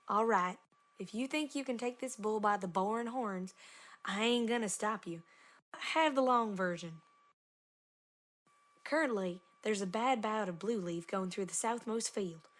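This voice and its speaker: A woman plays a hardworking cartoon farm mare with a Southern American drawl and medium pitch. She talks plainly and matter-of-factly, with folksy turns of phrase. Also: a little slow, natural voice. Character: plain-spoken, hardworking female farm pony. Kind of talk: cartoon character letter reading.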